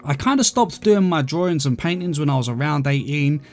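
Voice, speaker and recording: Chatty English man, higher voice, over music. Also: lively intonation, a little fast. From London, UK. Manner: chatty, explanatory, addresses listeners as guys. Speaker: gaming video creator and host. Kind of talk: casual gameplay commentary answering viewer questions.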